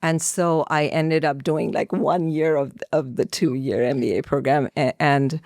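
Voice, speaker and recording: A woman's voice: low voice, measured pace, darkish tone, dry recording, studio quality. A middle-aged woman from India talking as an interview guest, telling personal stories conversationally and acting out bits of remembered dialogue. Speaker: podcast guest, actor.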